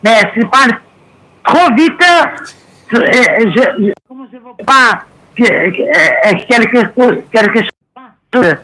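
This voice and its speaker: A woman whose first language is Portuguese, calling in on the phone and speaking French haltingly, with many restarts and fillers. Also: fairly high pitch, noisy background. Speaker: caller. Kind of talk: phone conversation.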